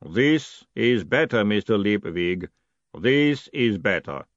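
A man voicing a huge, placid clay golem who speaks slowly and deliberately in a deep rumble. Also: very animated, dry recording. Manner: slow, deep, rumbling, measured and literal. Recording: dull lo-fi recording.

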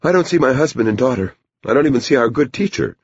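A grouchy, sharp-tongued wife from an audiobook , acted out by a male narrator, pitched up from a deep register and swinging with exasperation. The delivery is sardonic and lively, with a slightly husky edge. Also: fast talker, dry recording, lo-fi sound. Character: irritable, sharp-tongued grown woman, a small-town wife.

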